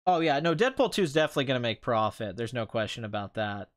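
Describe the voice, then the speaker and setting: A man with a mid-pitched, clear voice hosts a live stream in a casual, conversational way. He rambles through his opinions informally. His throat sounds slightly strained, as if he has been talking for a long time. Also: lively intonation, quick pace. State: voice tiring and strained after two hours of talking. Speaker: live stream host, film commentator.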